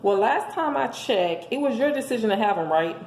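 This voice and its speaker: A hoarse woman giving an emphatic, preachy motivational monologue, speaking as a host handing out relationship advice to listeners. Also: on the high side, lively intonation, a little fast, a little mellow. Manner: emphatic, preachy, rhetorical questions. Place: indoors at home. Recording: echoey room with faint background noise.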